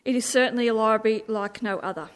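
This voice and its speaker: A woman host giving a formal, smooth introduction of a guest speaker. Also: fairly high pitch, fairly even intonation, fast.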